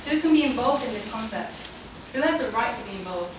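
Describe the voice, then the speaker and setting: A young woman with a fairly high voice gives an academic talk, her questions to the audience carrying through a very echoey lecture hall. She is picked up from far off, and the sound is dull with steady noise behind it. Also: brisk. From London. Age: young adult. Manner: poses many questions to the audience. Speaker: seminar presenter. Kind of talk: academic presentation.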